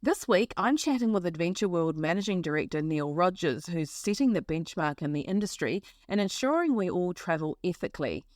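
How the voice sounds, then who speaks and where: A woman hosting a travel podcast, her voice low for a woman. She asks rambling, friendly questions with many restarts. The recording is dry and close. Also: expressive, fairly quick, hardly any pauses, a little mellow, no room sound. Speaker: podcast host.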